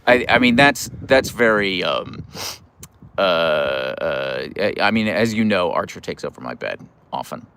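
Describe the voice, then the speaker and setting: Low-voiced, animated American man chatting. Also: measured pace, smooth. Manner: playful, wry. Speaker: livestream host. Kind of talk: casual livestream chat, reading out viewer comments.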